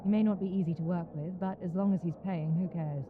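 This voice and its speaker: A woman's voice: lowish voice, steady tone, quick pace, lightly acted. A calculating woman criminal, played by a woman, heard through a thin, phone-like filter with noise behind her. She speaks coolly and without fuss. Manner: cool, calculating. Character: cool, mercenary woman criminal.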